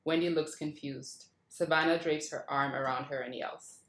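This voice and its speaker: A woman's voice: deep voice, fairly even intonation, moderate pace. A woman hosting a table read and reading stage directions from a script. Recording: roomy, echoey sound.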